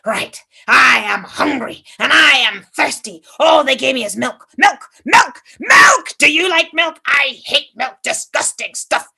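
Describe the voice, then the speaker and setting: A woman voicing a mischievous little old male fairy changeling who shouts and grumbles loudly. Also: sits high, a little slow, vocal fry, hardly any pauses, husky, full character voice. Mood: greedy. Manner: loud, shouting, gleeful.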